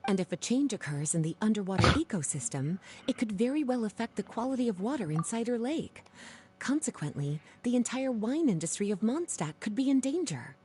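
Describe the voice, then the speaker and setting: A woman voicing a poised, unhurried scholar who explains matters in a composed, measured way, in video game dialogue with game music behind. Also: a little high, very animated, a little mellow, slight background noise.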